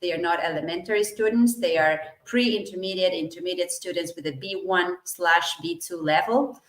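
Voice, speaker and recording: A woman's voice: fairly low pitch, moderate pace, echoey room. A middle-aged woman from Argentina, an English teacher, answering interview questions fluently and with enthusiasm.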